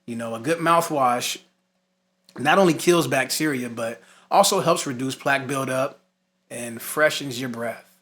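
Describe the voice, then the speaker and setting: Bright-voiced man teaching a lesson. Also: medium pitch, measured pace. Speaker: music instructor. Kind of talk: instructional lesson, scripted points with casual asides.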